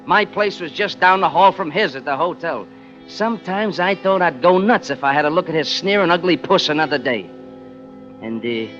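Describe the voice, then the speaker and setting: Bitter, wisecracking New working stiff, higher-pitched voice, scheming and slangy, old radio thriller with music behind. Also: male voice, bright, forceful tone, dull audio. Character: bitter, scheming working-class former cab driver turned gag writer. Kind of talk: 1940s radio drama acting, first-person narration and dialogue.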